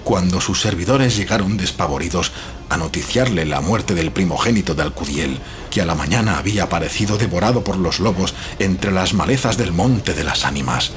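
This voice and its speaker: Deep-voiced man narrating horror over music. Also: animated. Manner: solemn, suspenseful, with dramatic pauses. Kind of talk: dramatic horror story narration. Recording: prominent music behind the voice.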